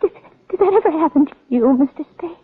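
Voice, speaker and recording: A woman acting a fragile, lonely rich young woman who is dazed and unravelling, halting and stammering in 1940s radio style. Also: high-pitched, expressive. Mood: confused, halting. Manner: halting, stammering, repeating words. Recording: thin narrow-band old radio recording.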